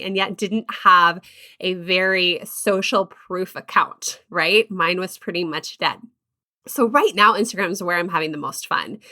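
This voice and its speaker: A woman's voice: mid-range voice, pushed and bright. A woman hosting a podcast, talking candidly and upbeat in a conversational way. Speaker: podcast host. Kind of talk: podcast intro and interview.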